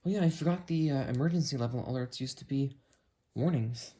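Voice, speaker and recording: Man casually reviewing a gadget, noisy recording. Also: medium pitch. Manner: unhurried, thinking aloud while testing. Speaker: video creator demonstrating a weather radio. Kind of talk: casual hands-on review narration.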